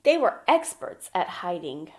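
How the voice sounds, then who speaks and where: A woman's voice: fairly low pitch, very animated, measured pace, a little mellow. A woman teacher reading a children's picture book aloud and talking it through simply, often putting questions to the young listener. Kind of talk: children's storybook read-aloud and lesson.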